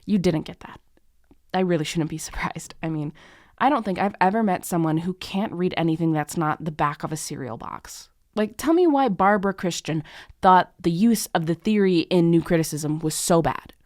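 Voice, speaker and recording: A woman playing a snarky but soft-hearted young tutor in an ASMR romance roleplay, halting and sweary, with sighs and a tendency to drop into whispers. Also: on the low side, no echo, clean studio sound, natural voice. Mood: exasperated, harsh. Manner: halting, awkward, sweary, often sighing and dropping to whispers. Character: harsh, snarky young female tutor turned flustered, guilty comforter.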